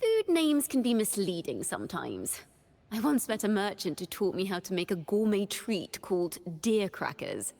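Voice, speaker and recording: A woman voicing a young, horned wandering bard in a video game, a cheerful yet wistful poet who speaks in lyrical, expressive storytelling with a reverberant tail. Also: mid-range voice, moderate pace. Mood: cheerful, chatty. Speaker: game character voice. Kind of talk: scripted character monologue.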